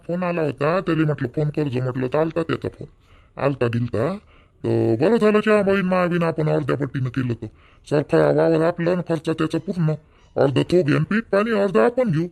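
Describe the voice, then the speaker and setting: A man's voice: on the high side, animated, fairly quick, vocal fry, darkish tone. A man voicing an irritable middle-aged village father in a cartoon family drama, arguing in colloquial Varhadi Marathi. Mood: matter-of-fact. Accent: Varhadi Marathi from Vidarbha. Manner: colloquial, argumentative.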